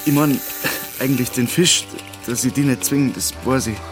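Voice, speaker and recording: A man's voice: medium pitch, normal speed, music in the background, natural voice. An actor playing a curious, stubborn village man in a radio drama, with a colloquial Bavarian way of speaking. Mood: stubborn, resigned. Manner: questioning, colloquial.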